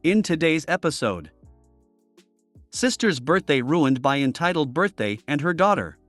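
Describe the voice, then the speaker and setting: Male narrator for reddit story readings, higher register, dry close mic, faint music bed. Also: very animated, a little slow, fairly bright tone, no room sound. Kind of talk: scripted narration reading posts.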